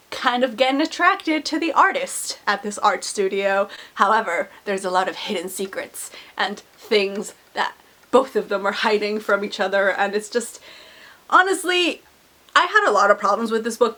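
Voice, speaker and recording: A woman's voice: medium pitch, a bit bright. A woman with a cold-stuffed, nasal voice, chatting candidly about books she read as a book vlogger. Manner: chatty and candid, many "like" fillers, frank opinions. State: recovering from a cold. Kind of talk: casual book review chat.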